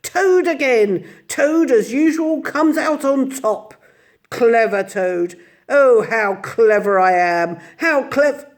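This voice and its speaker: Vain excitable toad for a storybook read, high and boastful, put on by a woman narrator. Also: unhurried.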